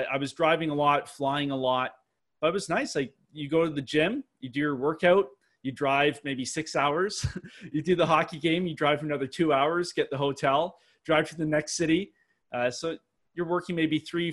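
A man's voice: on the high side, a bit bright. A man in his thirties with a Canadian accent, telling his story as a podcast guest with restarts and analogies. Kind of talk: casual interview answers.

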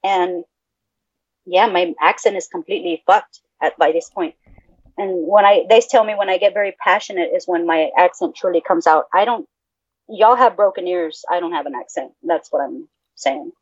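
A Mexican American woman from the Texas border co-hosting a casual podcast, excitable, laughing easily and swearing freely. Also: lowish voice, normal speed, breathy edge, no room sound, lo-fi sound. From Texas border region, USA. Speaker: podcast co-host. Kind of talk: casual chat.

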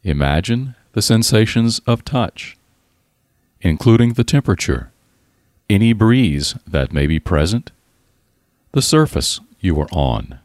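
A man narrating a scripted guided relaxation in a calm, soothing, deliberate manner with pauses. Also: fairly low pitch, no room sound, clean studio sound.

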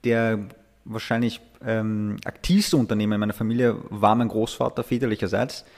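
Relaxed man, hesitant interview guest. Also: fairly low pitch, normal speed. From Austria. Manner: hesitant, many filler sounds, laughs easily. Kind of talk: casual interview talk.

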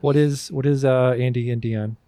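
Male, mid-range pitch, podcast host, quizmaster, casual banter, dry close recording. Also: fairly even intonation, normal speed, dark tone, no room sound. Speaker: podcast host running a quiz.

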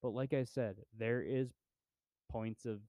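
A man's voice: medium pitch, fairly even intonation, takes it slowly, dark tone, no echo, clean studio sound. A young man co-hosting a podcast, chatting casually about movies in a laid-back, rambling way with lots of fillers. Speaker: podcast co-host. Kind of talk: casual movie review chat.

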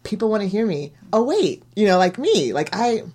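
A woman's voice: mid-range voice, big pitch swings, normal speed, warm tone. A woman hosting a podcast in casual chat, chatty and reflective, laughing often. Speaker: podcast host.

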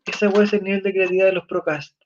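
High-voiced Chilean man, slangy and joking. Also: little pitch movement, racing, highs cut off, slight background noise. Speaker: podcast host. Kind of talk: casual chat reading viewer comments.

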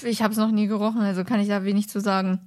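A woman's voice: medium pitch, little pitch movement, fast, a little mellow, dry recording, clean studio sound. A woman co-hosting a podcast in casual, informal chat. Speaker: podcast co-host.

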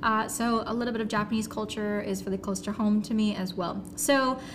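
A woman's voice: mid-range voice, steady tone, a little fast. A young American woman from San Francisco co-hosting a podcast in an informal, chatty way. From San Francisco, United States. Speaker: podcast co-host and barista. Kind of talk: casual podcast chat. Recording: clear background noise.

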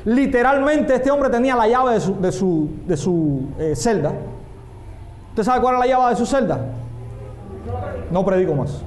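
High-voiced pastor in echoey room. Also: male voice, animated, measured pace, pauses often, a little mellow. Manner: emphatic, asks the congregation questions. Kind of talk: sermon. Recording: echoey room with faint noise.

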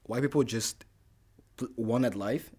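A man's voice: mid-range voice, measured pace, warm tone, breathy edge. Young man chatting with lots of fillers. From Rwanda, raised in the Netherlands. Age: young adult. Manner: rambling, laughing, lots of fillers and restarts. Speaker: podcast co-host. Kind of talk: casual chat.

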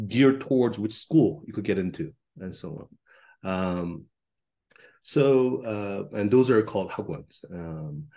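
A middle-aged man from Korea talks as a guest in a relaxed interview. His voice sits a little low, and he picks his way through his thoughts with frequent ums and self-corrections. The delivery is measured and reflective. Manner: thoughtful, hedging with many ums and restarts. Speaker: professor and podcast guest. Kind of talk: conversational interview.

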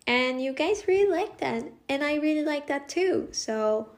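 Woman in her twenties, from Costa Rica, native Spanish speaker, slightly high voice, slow and unhurried, chatty podcast host. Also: animated. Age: 20s. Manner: unhurried, chatty, often laughing and restarting. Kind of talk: casual solo podcast intro.

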